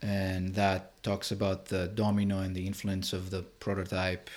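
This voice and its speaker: A man from Greece with a fairly low-pitched voice explains his work as an interview guest. His delivery is hesitant, with halting phrases and words that he starts again. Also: completely flat delivery, a little mellow. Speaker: interviewed artist and filmmaker.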